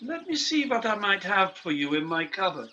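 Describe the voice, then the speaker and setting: An actor playing a genial but secretive professor in a radio play, with a courteous, theatrical and halting delivery. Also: male voice, high-pitched, sing-song, bright, forceful tone, lo-fi sound, a little hiss or hum, exaggerated voice. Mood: sly, conspiratorial. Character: odd, genial bird-shop professor secretly supplying saboteurs with bombs.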